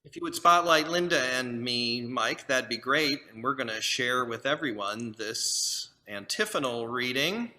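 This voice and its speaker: A male minister with a very bright, forceful tone reads verse aloud over a video call. His delivery is measured and reverent, with easy asides between readings. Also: mid-range voice. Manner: relaxed, joking asides before solemn reading. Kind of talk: leading a responsive reading in a service.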